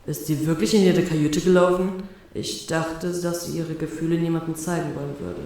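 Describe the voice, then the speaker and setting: A woman voicing a young male pirate captain in a hushed, lowered inner monologue full of guilt and curses. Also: fairly even intonation, moderate pace, heavy reverb, natural voice. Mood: guilt-ridden. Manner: low hushed inner monologue, swearing in frustration.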